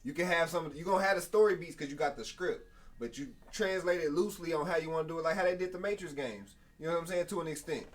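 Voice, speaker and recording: A man's voice: a little high, fast talker, recorded from a distance. A man co-hosting a live podcast in casual, slangy, profane chat, often repeating little tag phrases. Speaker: live stream podcast co-host. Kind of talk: casual chat with friends about video games.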